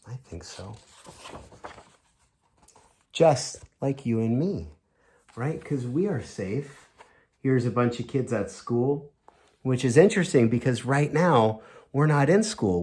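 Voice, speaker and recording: A man teacher reading a storybook aloud to young children, expressive and chatting directly to them with simple questions. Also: mid-range voice, slow pace, long pauses, slightly rough.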